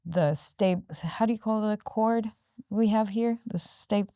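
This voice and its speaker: A woman's voice: medium pitch, measured pace, dark tone, smooth, no echo. A woman whose first language is Hebrew, speaking English as a guest in a podcast studio, thoughtful and sometimes searching for words. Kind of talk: conversational interview. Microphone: studio microphone.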